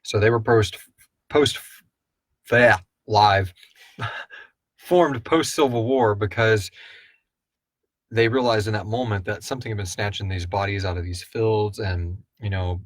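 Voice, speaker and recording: A man's voice: lowish voice, a little slow, pauses often, dry recording. A man hosting a casual question-and-answer chat, rambling and thinking aloud as he speculates. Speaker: host of a live audio drama Q&A.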